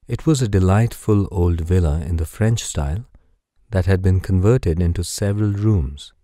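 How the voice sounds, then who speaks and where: A man softly narrating a guided sleep story in a soothing, unhurried, gentle manner, with a mellow voice. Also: sits low, no echo, studio quality.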